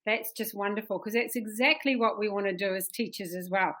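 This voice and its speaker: A woman's voice: medium pitch, a little fast, a bit bright. A woman hosting an interview show, introducing her guest with enthusiasm. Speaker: host.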